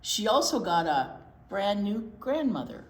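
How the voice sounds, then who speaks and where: A woman reading a picture book aloud to young children in an expressive storytime style, pausing to wonder aloud. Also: medium pitch. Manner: animated, pauses to wonder aloud and question young listeners. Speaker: children's storytime reader at a library. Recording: roomy echo with clear background noise.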